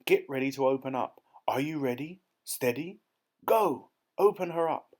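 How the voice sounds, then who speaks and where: A man's voice: mid-range voice, a little slow, voice pushed up, close to an everyday voice. A man voicing a pompous old duke who bellows his lines. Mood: commanding. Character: blustering old male aristocrat duke.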